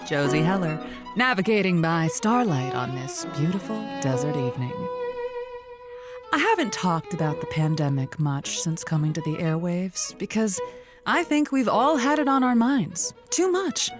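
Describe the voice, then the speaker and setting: A woman playing a flirtatious, wisecracking radio love-show host in a comedy audio drama, playful and heavy on innuendo. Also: lowish voice. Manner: innuendo-laden, playful, punning.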